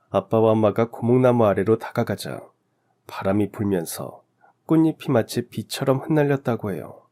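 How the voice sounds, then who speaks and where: A man's voice: on the low side, dark tone. A man narrating a scripted first-person life story as a radio story narrator.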